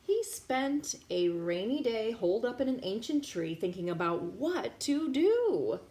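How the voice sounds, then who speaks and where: Expressive woman reading aloud in an echoey room. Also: fairly high pitch, unhurried. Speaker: children's story reader, teacher. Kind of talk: expressive read-aloud for young children. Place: indoor room. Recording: roomy echo, clear background noise.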